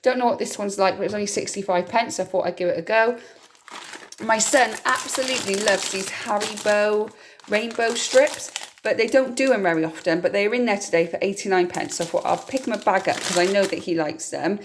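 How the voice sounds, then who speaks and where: Croaky woman chatting casually. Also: mid-range voice, a little fast, noisy background. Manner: chatty, rambling and homely. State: slightly hoarse, croaky from a cough. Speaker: vlogger. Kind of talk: casual grocery haul vlog.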